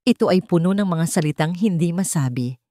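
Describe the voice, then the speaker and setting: Female storyteller, slightly low voice, dry close recording, scripted sentimental romance narration. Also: expressive, fairly quick, dark tone, dry recording.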